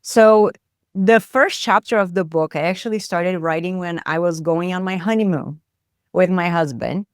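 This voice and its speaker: A woman's voice: lowish voice, normal speed, clean tone, no echo, studio quality. A woman with a Brazilian accent, a psychotherapist explaining her ideas thoughtfully in an interview, with many fillers. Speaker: psychotherapist guest. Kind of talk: interview conversation.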